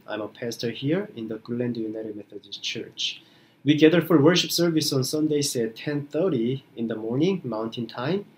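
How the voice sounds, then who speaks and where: A middle-aged man, a pastor giving an earnest, slow radio devotion sermon. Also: medium pitch, slight background noise, poor audio.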